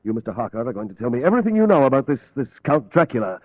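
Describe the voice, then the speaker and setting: Authoritative male asylum doctor, theatrical swings in pitch, thin vintage radio sound. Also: very animated, racing, no room sound. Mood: rousing resolve. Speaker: actor in a radio play. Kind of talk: old-time radio drama acting. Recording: dry, narrow-band vintage radio recording.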